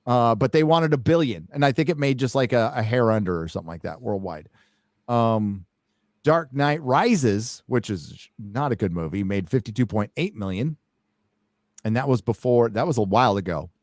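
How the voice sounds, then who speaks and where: A man hosts a loose chat, reading headlines aloud and breaking off into jokes and curses. His pitch moves a lot, and the sound is dry and close. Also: normal speed, no echo. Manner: animated, swearing, jokey asides. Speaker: host reading news. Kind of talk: casual chat.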